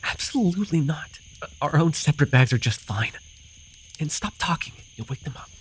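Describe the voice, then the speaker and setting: A man playing a gruff but caring, easily flustered fantasy forest guide in an immersive ASMR roleplay, speaking hesitantly and soothingly. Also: on the high side, lively intonation, brisk, slight background noise, lightly acted. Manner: hesitant, soothing, sighing, teasingly defensive.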